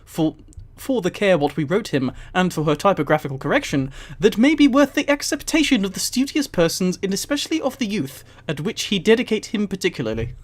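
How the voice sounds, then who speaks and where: Giggly streamer with a very animated voice. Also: medium pitch, a little fast, few pauses. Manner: giggly, often breaking into laughter while reading. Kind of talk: live stream, amused reading aloud with casual commentary.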